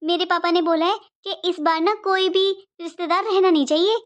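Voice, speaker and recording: A woman's voice: extremely high pitch, fairly even intonation, fairly quick. A woman voicing a devoted, respectful young sister-in-law in a cartoon family drama, speaking politely and emphatically with repeated phrases. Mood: eager, reassuring.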